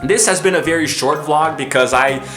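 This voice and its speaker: A man's voice: on the high side, normal speed, sharp tone, echoey room, soft background music. A man vlogging to camera about his views, chatty and tired, often saying "you know", from Brazil. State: tired and low on energy. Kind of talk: casual opinion vlog to camera. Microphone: camera mic, filming self.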